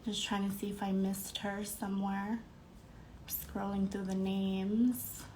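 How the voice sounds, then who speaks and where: A woman's voice: mid-range voice, flat delivery, takes it slowly, a little mellow, slight background noise. A young woman hosting a live podcast stream, congested and a little nasal, bubbly and greeting viewers by name between fillers. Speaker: podcast host. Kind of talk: casual live-stream chat.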